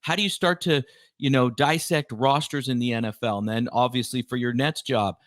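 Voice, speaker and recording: A man's voice: medium pitch, steady tone, normal speed, no echo, clean studio sound. A man hosting a podcast, speaking as presenter and interviewer. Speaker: podcast host. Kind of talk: scripted podcast introduction, then conversational interviewing.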